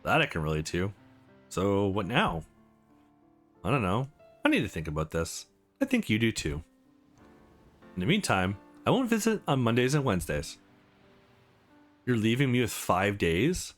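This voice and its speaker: A man's voice: lowish voice, sing-song, moderate pace, long pauses, voice pushed down. A man voicing awkward, wry young women who are rivals in love in a visual novel. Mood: wry, guarded. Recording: music under the voice.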